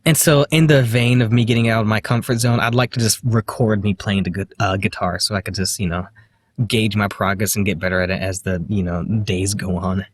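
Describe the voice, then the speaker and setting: A man with a slight lisp, talking to the camera in a halting, hesitant way, full of fillers and restarts. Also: fairly low pitch, steady tone, slight background noise. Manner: quiet, self-deprecating. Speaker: vlogger. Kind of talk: casual self-recorded monologue.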